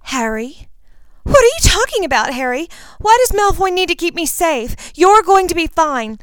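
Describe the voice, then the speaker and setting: Brief: a woman playing a frantic teenage girl close to tears, voice pushed high. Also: very animated, normal speed, no room sound. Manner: high, pleading, near tears.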